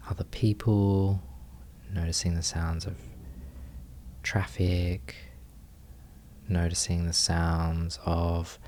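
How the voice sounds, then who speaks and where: Guy doing guided meditation, really deep voice, super slow, gentle, lots of long pauses. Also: a little hiss or hum. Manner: gentle, unhurried, with long pauses between short phrases. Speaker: meditation teacher.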